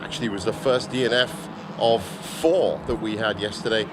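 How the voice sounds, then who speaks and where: A man with a slightly hoarse voice giving chatty, enthusiastic live motorsport commentary. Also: lowish voice, normal speed. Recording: loud engine and outdoor circuit noise. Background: cars and trackside ambience.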